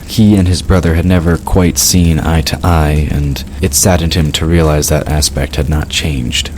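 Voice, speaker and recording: A man with an extremely low voice narrates a fantasy audio drama in scripted prose. There is clear noise behind his voice. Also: steady tone, normal speed, darkish tone, low-quality recording.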